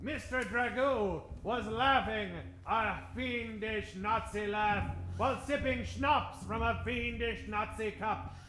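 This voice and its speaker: Guy narrator, really high bright voice, mock dramatic old radio serial, echoey. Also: slow pace, few pauses, a little hiss or hum. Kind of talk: melodramatic old-time radio adventure narration.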